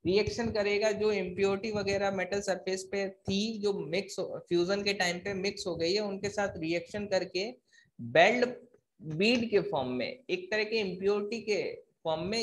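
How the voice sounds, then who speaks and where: A man's voice: high voice, normal speed, a little hiss or hum, poor audio. A man giving an explanatory technical lecture as an engineering teacher, asking and answering his own questions, repeating points and mixing in English technical terms.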